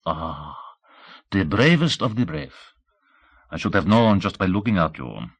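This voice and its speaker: A man's voice: sits low, sing-song, a little slow, gravelly, husky, no room sound, exaggerated voice. Man putting on a pompous French hotel concierge. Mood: flattering. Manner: pompous, florid, formal. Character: immense dignified French hotel concierge, dispassionate, flattering, sly.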